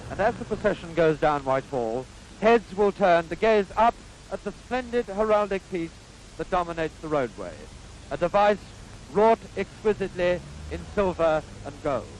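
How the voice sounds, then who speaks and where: A man with a Received Pronunciation British accent giving formal, stately 1950s newsreel commentary in measured phrases, on a vintage soundtrack. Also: high voice, expressive, slight background noise, low-quality recording.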